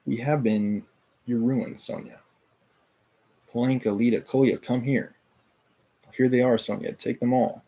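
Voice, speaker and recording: A man reading aloud as a dying mother lost in delirium, hoarse and gasping after every few words. The voice sits a little above his own and carries a breathy, husky edge. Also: medium pitch, steady tone, a little slow, pauses often, dark tone. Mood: despairing.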